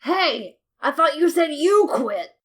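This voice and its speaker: A woman voicing a blunt, gruff female fish warrior in a storybook reading. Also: high voice, very animated, slow, pitched above the performer's own voice, exaggerated voice. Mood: brusque.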